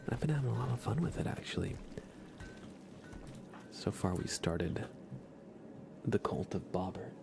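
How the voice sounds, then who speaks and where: A soft-spoken man hosting a relaxed ASMR gaming livestream, often dropping into a whisper. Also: on the low side, normal speed, creaky, long pauses, noisy background. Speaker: livestream host.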